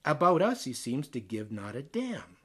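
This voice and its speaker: Theatrical Canadian man with lively, swooping pitch. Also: breathy edge. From Ontario, Canada. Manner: theatrical, deliberate phrasing. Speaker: actor reading poetry. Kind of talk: dramatic poetry reading.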